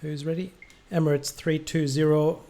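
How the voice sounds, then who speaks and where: Higher-pitched man giving air traffic instructions. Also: level tone, normal speed, mellow. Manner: clipped procedural phrasing, muttered asides to himself. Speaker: streamer playing an air traffic controller. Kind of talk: air traffic control commands with casual gaming commentary.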